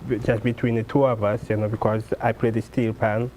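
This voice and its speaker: Halting man, steel pan player. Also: lowish voice, little pitch movement, darkish tone, slight background noise, poor audio. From Trinidad and Tobago. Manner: halting, restarts and repeats words. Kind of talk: interview guest.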